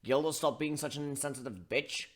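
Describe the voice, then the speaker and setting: A man's voice: on the high side, brisk, pitched above the performer's own voice, natural voice. A man voicing a big-hearted giant minotaur with a blunt, folksy, bossy way of talking. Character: gruff, big-hearted giant male minotaur airship crewman.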